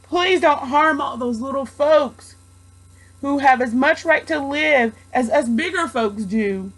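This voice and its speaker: A kind storybook elephant, acted by a woman, speaks in a high, slow voice. He is earnest and devoted, caring deeply for his tiny friends. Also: a bit bright, poor audio. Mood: begging. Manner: earnest, heartfelt.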